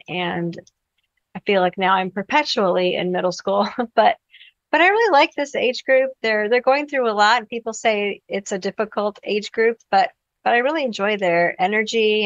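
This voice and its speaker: A woman's voice: mid-range voice, no echo, muffled recording. Earnest middle-aged woman teacher, dry sound. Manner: earnest, thoughtful, with fillers. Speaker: middle school teacher, guest. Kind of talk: podcast interview. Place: classroom.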